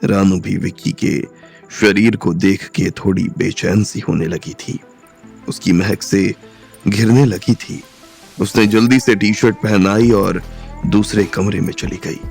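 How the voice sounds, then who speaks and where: A man narrating a romantic crime audio drama in a measured storytelling manner. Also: deep voice, steady tone, vocal fry, darkish tone, soft background music.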